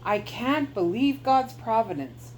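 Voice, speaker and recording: A man's voice: extremely high pitch, very animated, a little slow, slight background noise, voice pushed up, full character voice, rough recording. A woman doing an eager young adventurer. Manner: eager, curious.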